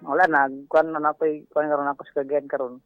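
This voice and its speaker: Filipino guy calling in, cebuano speaker, slightly high voice, halting, muffled lo-fi audio. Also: level tone. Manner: halting, searching for words. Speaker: caller. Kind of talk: casual chat. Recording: dull lo-fi radio call audio. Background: faint background music.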